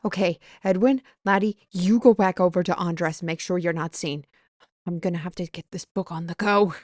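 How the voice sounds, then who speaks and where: Woman as scheming sorceress mistress, brisk, bossy and theatrical, for fantasy audio drama. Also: lowish voice, darkish tone, dry recording, studio quality, lightly acted. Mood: urgent, commanding. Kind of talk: audio roleplay acting.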